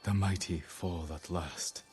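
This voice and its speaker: A man playing a stoic, determined samurai lord in a video game, speaking in a terse, measured, commanding way. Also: low voice, steady tone. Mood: solemn. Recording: loud cinematic music behind. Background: game score music.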